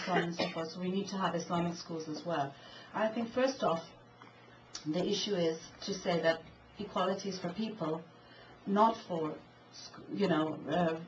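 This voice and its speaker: A woman, an invited speaker, answering a question at a public talk in an earnest, arguing way. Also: lowish voice. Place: event hall. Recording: distant mic, dull, light hiss.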